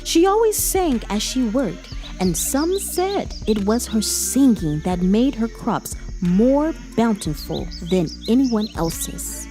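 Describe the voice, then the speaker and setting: Animated, slow-paced woman telling children's stories. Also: a little high, faint music behind. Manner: animated, emphatic. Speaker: children's storytime host. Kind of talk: expressive read-aloud storytelling and commentary for children.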